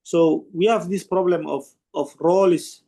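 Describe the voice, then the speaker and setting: A man, a participant in an online panel, who explains at length in an earnest, halting way with many restarts. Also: high voice, fairly even intonation, mellow. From Somalia. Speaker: conference participant asking a question.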